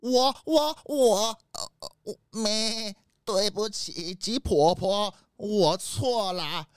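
A woman's voice: mid-range voice, drawn-out words, clean tone, no echo. A woman voicing a timid, guilty old male goat who stammers, in a children's audio drama. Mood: ashamed. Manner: stammering, repeating words.

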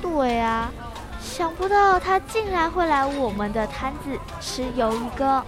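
A cheery schoolgirl in a radio play, high pitched, slow-ish, music behind. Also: animated. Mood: confiding. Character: cheerful schoolgirl helping at family squid soup stall. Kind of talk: children's radio drama acting.

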